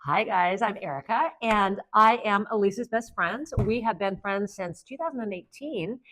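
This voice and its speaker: A woman's voice: medium pitch, moderate pace, fairly bright tone. A woman in her fifties, a guest on a podcast, telling stories in a rambling way with lots of fillers. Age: 50s. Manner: rambling, meandering storyteller with many fillers. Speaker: podcast guest and Amazon influencer. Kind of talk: casual conversation.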